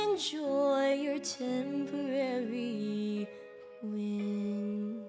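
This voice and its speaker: Woman as vengeful teen queen bee, high voice, drawn-out musical theatre delivery, haughty, loud backing music. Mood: bitter, scornful.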